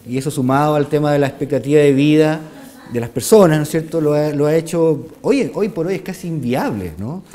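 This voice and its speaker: A man speaks as a talk-show guest, starting his thoughts haltingly and tacking questions onto his points. His voice is slightly high for a man and has a soft, dark tone. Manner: hesitant starts, frequent tag questions. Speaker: guest, author and journalist. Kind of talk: interview conversation.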